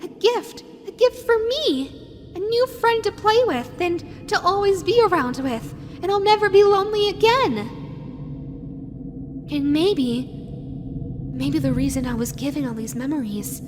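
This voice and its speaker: A woman's voice: high voice, lively intonation, a little slow. A woman voicing a lonely, possessive animatronic fox with a childlike, sweetly sinister manner, dreamy and lingering, in an audio roleplay. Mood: excited, obsessive. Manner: dreamy, lingering, repeats words softly. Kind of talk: scripted audio roleplay addressed to the listener. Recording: long hall-like reverb, music underneath. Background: background music.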